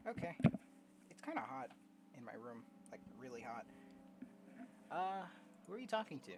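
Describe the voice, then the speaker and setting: A young woman casually commenting on a game and reading its text aloud in a slangy, expressive way. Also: very deep voice, moderate pace, very noisy. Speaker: streamer playing a game. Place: own room at home.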